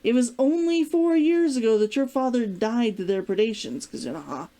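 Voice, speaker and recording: A woman reading aloud as a protective, gently teasing man in a storybook, measured and probing. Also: a little high, lively intonation. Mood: concerned. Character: concerned, teasing adult man, protective old flame. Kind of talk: storybook character dialogue read aloud.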